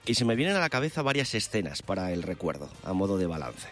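A man's voice: low-pitched, sing-song, fast talker, fairly bright tone. A man presenting a live radio news chronicle in a descriptive, eloquent manner. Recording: music bed under the voice. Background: background music.

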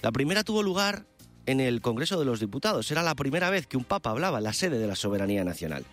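A man's voice: mid-range voice, big pitch swings, quick pace, a bit bright. A man presenting a live radio news chronicle in a descriptive, eloquent manner. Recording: music bed under the voice. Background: background music.

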